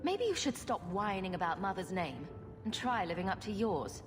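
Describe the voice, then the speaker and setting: Wry, lively young woman played by an actress. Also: mid-range voice, normal speed, natural voice. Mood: reproachful. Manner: wry, dry. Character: wry, assertive young adult elder sister, fantasy heroine. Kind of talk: dramatic video game acting. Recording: long reverb, music behind.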